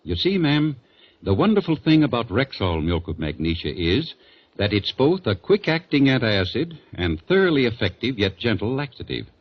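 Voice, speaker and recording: A man's voice: mid-range voice, sing-song, moderate pace. A man playing an earnest, reassuring family druggist, polite and folksy, in a scripted 1940s radio commercial. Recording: dull 1940s radio broadcast recording.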